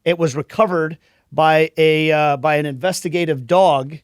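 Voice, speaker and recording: A man hosting a podcast, running a casual sports trivia quiz and introducing a guest in a quick, upbeat way. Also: on the high side, fairly even intonation, dry recording, studio quality. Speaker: podcast host.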